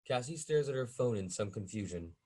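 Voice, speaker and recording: A man's voice: mid-range voice, animated, a little fast, darkish tone. Man narrating screenplay stage directions. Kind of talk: scripted narration at a table read.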